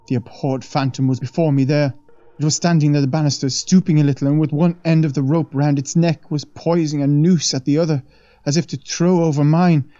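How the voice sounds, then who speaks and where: A man's voice: fairly high pitch, dark tone, dry recording, pitched above the performer's own voice, natural voice. A man reading an audiobook plays a shaken young man earnestly recounting a ghostly encounter, on a dull, dry recording. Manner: earnest, solemn, dramatic.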